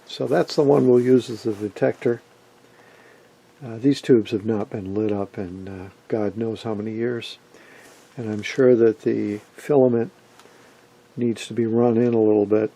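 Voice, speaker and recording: A man's voice: fairly low pitch, fairly even intonation, a little slow, vocal fry, warm tone. A middle-aged man giving a casual, matter-of-fact hands-on demonstration as a hobbyist presenter, explaining as he works. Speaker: vintage radio hobbyist presenter. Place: indoor workshop, off camera at a workbench.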